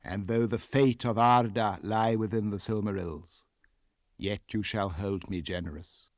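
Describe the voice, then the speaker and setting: An English man reads as a proud elven king of legend, with an English accent. He is scornful and imperious, weighing each word slowly and with cold formality, as in a storybook epic. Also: fairly low pitch, steady tone, no echo, clean studio sound, voice pushed down, close to an everyday voice. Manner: deliberate, imperious, coldly formal.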